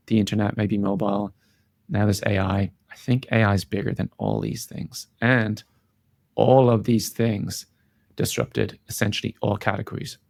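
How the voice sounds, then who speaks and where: A man's voice: fairly low pitch, creaky, darkish tone, no room sound. A man giving earnest, reflective and emphatic answers as a guest in a conversational interview. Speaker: interview guest, tech company founder and CEO.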